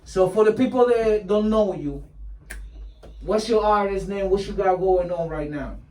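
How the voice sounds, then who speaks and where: High-voiced guy hosting, laid back, stumbles on questions, roomy sound from away from the mic. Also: unhurried, darkish tone, far from the mic. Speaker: interview host. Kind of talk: casual interview. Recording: echoey room, off-mic.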